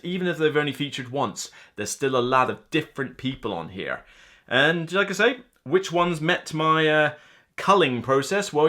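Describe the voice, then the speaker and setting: A man in his thirties with a bright, forward voice at an ordinary male pitch. He rambles casually to camera like a hobby reviewer, chatty and quick to poke fun at himself. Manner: chatty, rambling, self-deprecating. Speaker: board game reviewer and video host. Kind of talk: casual unscripted vlog commentary to camera. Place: small room lined with board game shelves, indoors.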